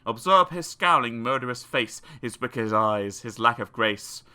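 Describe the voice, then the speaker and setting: A man narrating children's comic poems in a theatrical, expressive storytelling style. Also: mid-range voice, a little slow, smooth. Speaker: audiobook narrator. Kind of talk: dramatic children's poetry reading.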